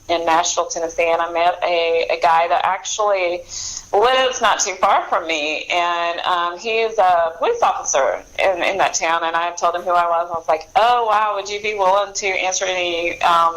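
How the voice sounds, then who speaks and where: Lower-pitched American woman, chatty. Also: fairly bright tone, rough recording. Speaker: author being interviewed. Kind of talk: casual interview chat.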